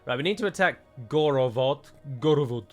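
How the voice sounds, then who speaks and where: A man's voice: on the high side, lively intonation, fairly quick, soft background music. A man giving casual gameplay commentary, chatty and self-mocking, with jokey asides. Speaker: let's play host.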